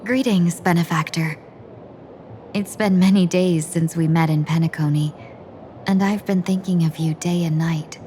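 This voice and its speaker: A woman's voice: fairly low pitch, fairly even intonation, measured pace, warm tone, slight background noise, close to an everyday voice. An actress playing a humble, devoted, courteous woman warrior in a video game, speaking formally and with deference. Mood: devoted, polite. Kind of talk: video game character dialogue.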